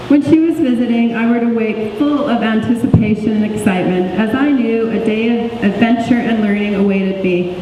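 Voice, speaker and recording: A woman reading a book chapter aloud as narrator, measured and reflective. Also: fairly high pitch, highs cut off. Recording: echoey hall, distant mic, faint noise.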